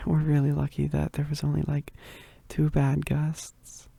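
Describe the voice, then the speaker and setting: A woman playing a shy, flustered young werewolf roommate in a romantic ASMR roleplay, halting and stammering in a soft, breathy voice, often whispering, close to the mic. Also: low voice, completely flat delivery, no echo, heavily acted. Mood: shy, playful. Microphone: close microphone.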